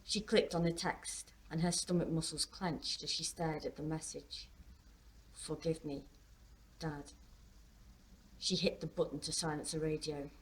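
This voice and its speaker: A woman author reading her own novel aloud as expressive story narration. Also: low-pitched, moderate pace, few pauses, poor audio.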